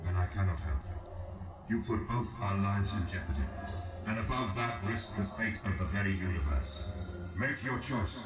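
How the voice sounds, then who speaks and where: Low, echoing, solemn ancient god. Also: male voice. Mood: urgent, commanding. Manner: solemn, measured, formal, imperious oratory. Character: commanding male fallen god, ancient, grave, ambitious and patient. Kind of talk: scripted video game character dialogue. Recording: roomy, distant voice over game music.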